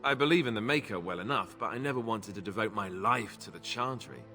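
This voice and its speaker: A young game knight, earnest n wry, lowish voice, music behind. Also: male voice, a little fast, pushed and bright. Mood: earnest, reflective. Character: young Templar-trained Grey Warden, earnest, wry. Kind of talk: video game voice acting. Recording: long reverb, music behind.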